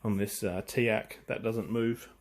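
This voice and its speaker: A man giving a casual, unscripted walkthrough of equipment, speaking in a hesitant, halting way as he thinks aloud. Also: lowish voice, fairly even intonation. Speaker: hobbyist presenter demonstrating vintage audio gear.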